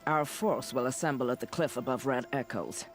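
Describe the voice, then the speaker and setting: A man's voice: mid-range voice, moderate pace, faint music behind, lightly acted. Man playing a solemn, commanding war chief. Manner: solemn, authoritative. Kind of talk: video game drama acting.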